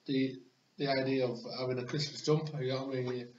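A man's voice: mid-range voice, level tone, quick pace, a little mellow, a little hiss or hum. A young man co-hosting a casual beer chat, rambling with fillers, recorded on a webcam in a small room. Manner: rambling, many fillers like "you know what I mean". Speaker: co-host. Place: small bedroom with posters, indoors. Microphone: webcam on desk. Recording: roomy, dull lo-fi webcam audio.

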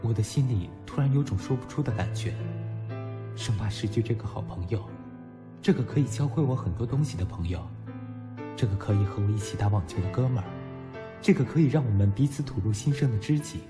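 Man acting an expressive, blunt young student. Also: on the low side, fairly quick, natural voice. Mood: reflective narration. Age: young adult. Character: young male university student, blunt, teasing, quick to flare up. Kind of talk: Mandarin audio drama acting and first-person narration. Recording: background music under the voice.

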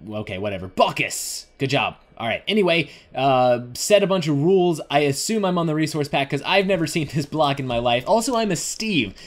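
Young male gaming host: playful, rambling Let's Play commentary with a lively, swinging pitch. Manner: playful, rambling, talks to game characters and himself. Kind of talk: casual Let's Play commentary on an adventure game.

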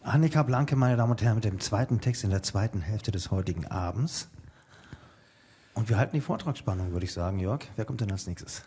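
Male host on a theater stage, lowish voice, brisk upbeat announcing, noisy distant sound. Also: expressive.

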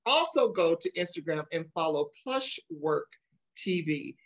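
A man's voice: fairly high pitch, animated, measured pace, a bit bright. Middle-aged male talk show host, enthusiastic and emphatic, speaking on a video call. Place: indoor room at home, on a video call.

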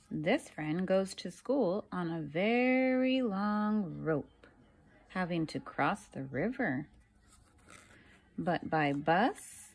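Slow, expressive woman reading aloud to children. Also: mid-range voice, breathy edge. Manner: deliberate, pauses between phrases, asks listeners questions. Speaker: teacher reading a picture book aloud to children. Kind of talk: expressive children's picture-book read-aloud.

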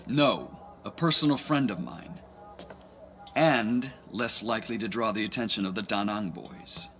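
A man's voice: lowish voice, unhurried, bright, forceful tone, slight background noise. A man playing a courteous, earnest Chinese crime boss in a video game cutscene, with formal, polite wording. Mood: courteous, serious.

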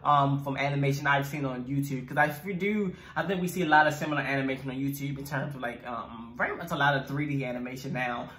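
A young man hosts a reaction video in a bright, forceful voice of middle pitch. He is effusive and repeats himself for emphasis. The small room adds clear echo. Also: fast talker, hardly any pauses. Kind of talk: casual enthusiastic review chat. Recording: echoey room.

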